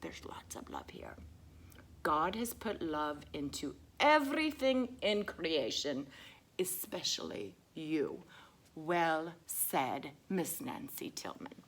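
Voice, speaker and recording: A woman voicing a sweet, excitable lady who reads to children, drawing out words with exaggerated wonder. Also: low-pitched, slow, exaggerated voice. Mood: tender, emphatic. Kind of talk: children's story reading.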